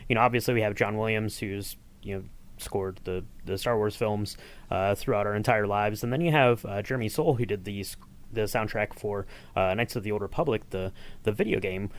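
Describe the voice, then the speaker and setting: A man's voice: lowish voice, steady tone, brisk. A man hosting a podcast, conversationally putting questions to his guests. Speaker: podcast host, interviewer.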